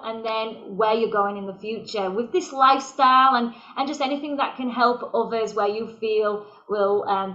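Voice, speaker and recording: A woman hosting a video-call interview, with a high, sharp, bright voice. She talks in a friendly, rambling way and repeats words as she goes. The sound is a little dull, like call audio. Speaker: interview host.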